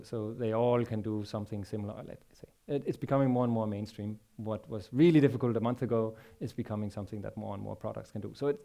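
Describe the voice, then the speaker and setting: Halting Danish-accented man on stage. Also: mid-range voice, mellow. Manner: halting, many repeated words and fillers. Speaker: conference speaker. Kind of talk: technical conference talk. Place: large conference stage.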